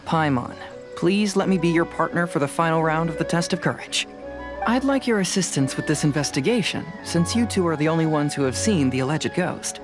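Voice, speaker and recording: A woman playing a calm, confident young detective in a video game, speaking in a composed, articulate, deliberate way. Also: low-pitched, over music. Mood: polite, thoughtful.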